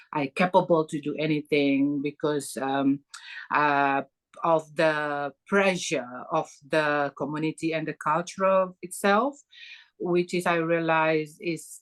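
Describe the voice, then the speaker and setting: A middle-aged woman from Malaysia with a Malaysian accent, telling her own story as a podcast guest, halting with many fillers and restarts. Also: low voice, a bit bright. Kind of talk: personal story in an interview.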